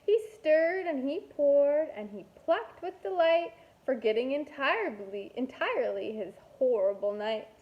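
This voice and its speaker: Female kindergarten teacher for picture-book read-aloud: high voice, expressive storytelling, slightly dull home recording. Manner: expressive, deliberate storytelling with playful asides.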